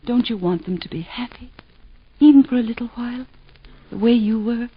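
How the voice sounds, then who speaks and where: An actress playing a composed, witty wife and mother who speaks in a gently teasing, lightly ironic way in 1940s radio acting. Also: on the high side, normal speed, husky. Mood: gentle. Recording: dull 1940s radio broadcast.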